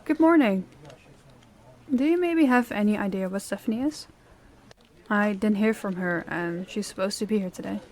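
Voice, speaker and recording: A woman's voice: mid-range voice, normal speed, long pauses, a little mellow, lightly acted. Composed, commanding crime boss played by a woman. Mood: polite, controlled. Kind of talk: audio roleplay acting.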